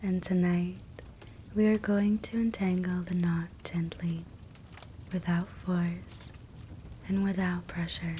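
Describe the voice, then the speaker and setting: A woman guiding a sleep hypnosis meditation in a soft, breathy whisper, gentle and soothing. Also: lowish voice, slow pace. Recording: soft steady fireplace crackle noise. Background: fireplace ambience.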